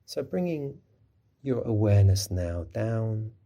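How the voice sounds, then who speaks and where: Low, mellow, husky man guiding meditation. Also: big pitch swings. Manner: gentle, unhurried, soothing instruction. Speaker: mindfulness teacher. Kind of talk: guided body scan meditation.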